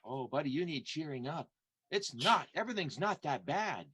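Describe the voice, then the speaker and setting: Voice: medium pitch, very animated, normal speed, fairly bright tone, husky. An actor playing a cheerful newlywed vaudeville performer with folksy, encouraging buddy talk in an improvised radio comedy.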